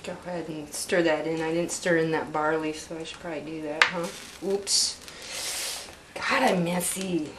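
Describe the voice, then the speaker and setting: A woman's voice: deep voice, steady tone, unhurried. A young woman talking casually while she cooks at home, joking to the camera. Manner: chatty, joking asides to the camera. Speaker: home cooking vlogger. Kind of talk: casual cooking demo. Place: home kitchen, indoors. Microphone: camera mic from a few feet away. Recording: echoey kitchen, distant camera mic, noisy.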